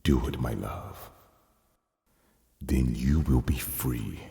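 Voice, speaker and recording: A man playing a huge, solemn gargoyle in a fan fiction audio drama, speaking gravely and tenderly with a gravelly voice. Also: dark tone, exaggerated voice. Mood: gentle urging. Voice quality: very deep, gravelly. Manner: slow, grave, pleading. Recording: long cavernous reverb.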